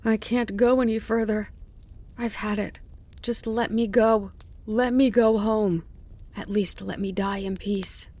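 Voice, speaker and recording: A woman's voice: mid-range voice, steady tone, measured pace, mellow, voice pushed up. A woman voicing a wounded, exhausted old male fox in a storybook, speaking with desperate, bitter emotion. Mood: weary pleading. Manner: imploring, lamenting, turning to bitter snarls.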